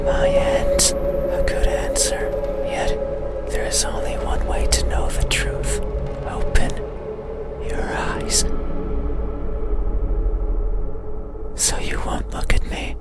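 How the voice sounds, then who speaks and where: Guy doing a creepy lonely game monster, all breathy whispers, super slow and halting, loud music behind. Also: very high voice, full character voice. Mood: probing, insistent. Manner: slow, halting, brooding, deliberate phrasing. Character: lonely, eerie wordless monster craving to be seen. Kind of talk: whispered ASMR horror game monologue.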